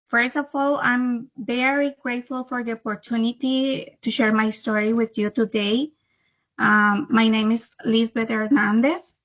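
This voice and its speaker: A woman in her thirties from El Salvador, speaking English with a Salvadoran Spanish accent, telling her own story in a slow, careful, slightly halting way over a video call. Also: fairly high pitch, fairly even intonation, a bit bright. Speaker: webinar speaker. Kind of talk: personal testimony presentation. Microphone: computer microphone on video call. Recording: muffled video-call audio.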